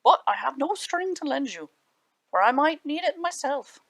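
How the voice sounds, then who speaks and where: A woman's voice: high-pitched, animated, normal speed, pitched above the performer's own voice. A woman voicing a boastful, greedy young male village harpist in a storybook, sneering and self-important. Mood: sly.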